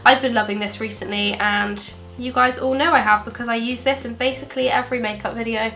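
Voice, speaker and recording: Young woman, bright voice, a bit high, stuffy from a cold, chatty tutorial vlog. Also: steady tone, fairly quick. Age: young adult. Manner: chatty, friendly, many ums. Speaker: beauty vlogger. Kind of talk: makeup tutorial. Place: bedroom desk indoors.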